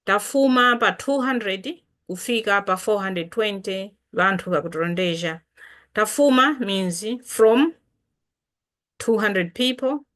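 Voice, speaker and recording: Slow, unhurried woman from Malawi teaching. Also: medium pitch, a bit bright. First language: Chitumbuka. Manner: unhurried, patient, repeats and spells out words. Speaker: language teacher and channel host. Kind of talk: casual language lesson to camera.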